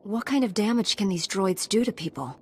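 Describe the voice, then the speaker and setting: A woman's voice: mid-range voice, animated, brisk, husky. A woman playing a confident, eager young warrior apprentice in a video game. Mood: self-assured.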